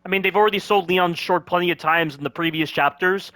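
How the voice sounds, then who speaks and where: A male commentator picks apart game scenes in a critical, questioning way, firing off rhetorical questions. His voice sits a little high and comes across bright and forceful. It is recorded close and completely dry, with no room sound. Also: fast, dry recording. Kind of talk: critical gameplay commentary over cutscenes.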